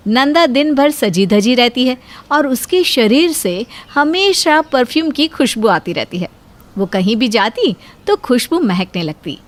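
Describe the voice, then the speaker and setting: Female storyteller with a highish voice. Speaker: narrator. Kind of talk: scripted story narration.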